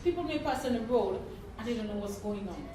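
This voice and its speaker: A woman's voice: fairly high pitch, lively intonation, fast talker. A woman speaking earnestly as she makes her point in a group discussion. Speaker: community member speaking at a gathering. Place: echoey indoor room. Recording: very echoey room, distant mic, noisy.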